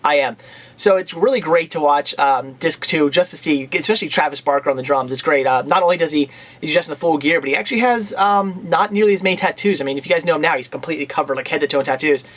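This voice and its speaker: A young man chatting casually and enthusiastically in a home vlog, talking fast with lots of fillers, his voice a bit worn from a coming cold. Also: fairly high pitch, fairly bright tone. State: losing his voice, getting a cold. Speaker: video blogger reviewing purchases.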